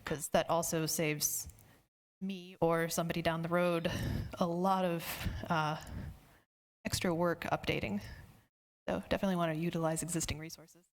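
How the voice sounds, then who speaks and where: A woman's voice: fairly low pitch, fairly even intonation, moderate pace. A woman speaker answering audience questions after a conference talk, conversational and friendly, with some fillers. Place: conference hall.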